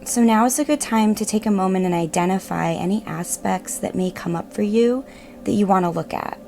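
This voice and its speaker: A woman narrating a guided meditation in a gentle, measured, reassuring manner. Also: mid-range voice, gravelly, a little hiss or hum. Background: meditative music.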